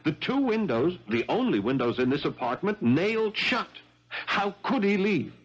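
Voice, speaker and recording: An actor playing an ambitious, excitable young French policeman in a 1970s radio mystery, with emphatic, dramatic phrasing. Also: high-pitched, normal speed, breathy edge, full character voice. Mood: puzzled, urgent. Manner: dramatic, emphatic delivery in short urgent phrases.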